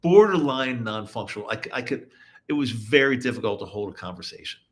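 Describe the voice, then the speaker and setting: A man's voice: mid-range voice, lively intonation, fairly quick. A middle-aged man from the US East Coast hosting a live podcast at home, chatting casually with listeners and often repeating himself. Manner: rambling, repeats words and restarts often. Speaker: podcast host. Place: home, in Utah.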